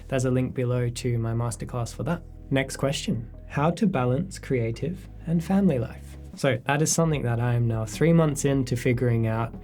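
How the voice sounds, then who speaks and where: A man in his thirties talks through viewer questions in his studio, relaxed and reflective. His voice sits at an ordinary middle pitch. Faint guitar music plays underneath. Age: 30s. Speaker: photographer answering viewer questions. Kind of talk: casual sit-down Q&A. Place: his studio space, indoors.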